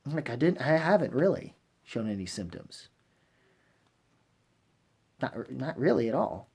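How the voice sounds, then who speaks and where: A man giving casual, rambling vlog commentary, haltingly repeating himself and trailing off mid-thought in informal language. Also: medium pitch, sing-song, darkish tone. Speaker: vlogger hosting a fan channel. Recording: clearly noisy recording with clicks.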